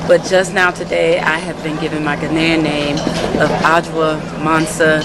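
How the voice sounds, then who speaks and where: A woman's voice: low voice, level tone, moderate pace, heavy background noise. An American woman formally introducing herself. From East Saint Louis, Illinois, United States.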